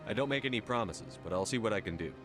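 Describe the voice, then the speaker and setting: A stern space-age commander played by a man with a very deep voice. He talks in blunt, terse phrases, a no-nonsense soldier in a video game with music clearly behind him. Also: expressive, fast talker, close to an everyday voice. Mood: curt.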